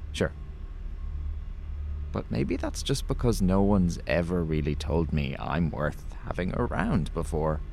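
An actor playing a naive, self-doubting condemned criminal in a naturalistic radio drama, hesitant, rambling and earnest. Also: male voice, a bass voice, a little mellow, a little hiss or hum.

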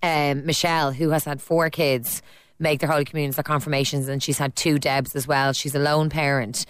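A woman's voice: sits low, level tone, fairly quick, smooth, dry recording. A woman with an Irish accent hosting a phone-in radio show, chatting and teasing callers and reading out listener texts. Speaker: radio host. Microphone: studio mic.

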